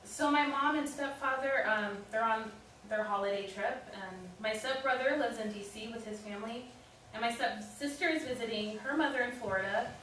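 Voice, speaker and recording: A woman's voice: a little high, moderate pace, fairly bright tone, lightly acted. A woman playing a polite, patient young guest in a live staged reading, hesitant and courteous. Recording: very echoey room, distant mic, hiss.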